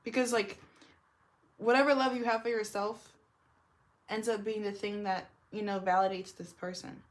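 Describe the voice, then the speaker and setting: A young woman with a deep smoker's voice, giving a casual tarot reading and rambling with lots of "like". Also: fairly even intonation, normal speed. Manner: rambling, heavy use of "like", laughs often. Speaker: tarot reader.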